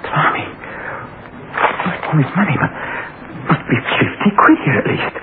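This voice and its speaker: A man's voice: a little high, big pitch swings, moderate pace, noisy background. An actor playing a desperate, poor unemployed British man, telling his story dramatically in an old-time radio drama. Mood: anxious. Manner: dramatic first-person storytelling, colloquial. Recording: tinny old radio broadcast with music.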